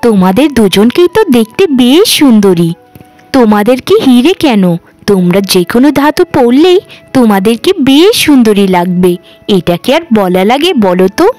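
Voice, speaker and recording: Casting: woman playing dutiful youngest cartoon daughter, high register, gentle and conciliatory, dry recording with faint music. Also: sing-song, moderate pace, dry recording. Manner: earnest, conciliatory. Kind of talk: cartoon voice acting.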